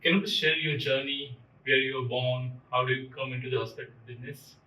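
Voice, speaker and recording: A man's voice: medium pitch, flat delivery, brisk, pushed and bright. A man hosting a podcast, introducing his guest and asking interview questions in a scripted way. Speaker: podcast host. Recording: roomy echo, distant mic, faint music.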